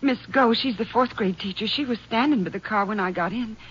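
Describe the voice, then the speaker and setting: A woman acting a shaken young schoolteacher in a 1950s radio drama, halting and distressed. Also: on the high side, a bit bright, breathy edge. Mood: nervous. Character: shaken young female schoolteacher, frightened attack victim. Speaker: radio actor. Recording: muffled old 1950s radio recording.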